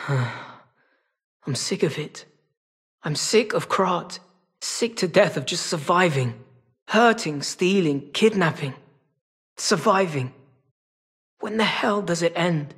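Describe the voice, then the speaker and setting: A sly feline rogue from a video game. The voice sits fairly high for a male and has a husky rasp. He talks in a wry, casual, streetwise drawl, like a weary hustler who is always bargaining. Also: animated, slow, long pauses, a bit bright, heavily acted. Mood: bitter, exhausted. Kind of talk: video game character acting.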